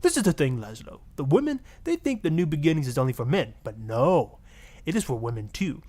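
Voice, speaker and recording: Radio comedy guest: preachy, pompous Latin-accented marriage guru, swooping pitch, easily offended. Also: male voice, sing-song, brisk, darkish tone. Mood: earnest, dramatic. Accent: put-on Latin. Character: flamboyant self-righteous fake-Latin marriage guru and pimp. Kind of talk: parody radio talk-show guest.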